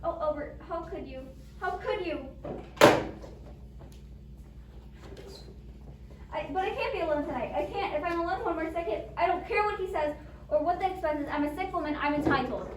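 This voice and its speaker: A woman's voice: very high-pitched, expressive, quick pace. A woman acting a frightened, demanding, self-pitying bedridden wife in an amateur radio play, fretful and melodramatic. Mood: distraught. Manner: imperious, fretful, melodramatic pleading. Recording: roomy, distant microphone with faint noise.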